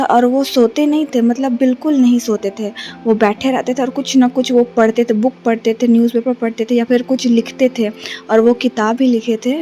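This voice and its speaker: A young woman from Jharkhand, India, vlogging casually on a phone camera, her throat a little sore, speaking softly with many hesitations. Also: fairly high pitch. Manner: soft, subdued, many hesitations. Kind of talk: casual vlog narration. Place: bus stand, then a relative's flat.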